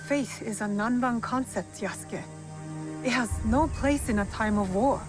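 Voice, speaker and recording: A woman's voice: on the high side, measured pace, over music, lightly acted. An actress playing a resolute, unsentimental young female shinobi in a video game cutscene, speaking curtly and firmly. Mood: firm, somber.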